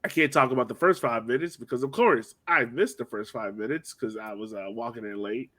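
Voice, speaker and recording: A man's voice: medium pitch, a little fast, a bit bright. A man hosting a sports podcast, chatting informally and slangily as a fan. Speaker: podcast host. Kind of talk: casual sports chat.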